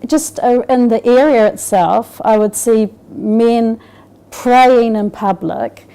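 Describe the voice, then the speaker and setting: A woman's voice: on the high side, takes it slowly, dark tone. A middle-aged woman from Scotland, raised in New Zealand, speaking with a New Zealand accent, giving a hesitant personal testimony with many fillers and restarts. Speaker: interviewee.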